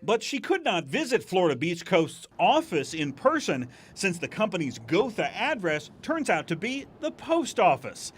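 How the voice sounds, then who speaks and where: High-pitched, lively male TV news reporter. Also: normal speed, few pauses, slight background noise.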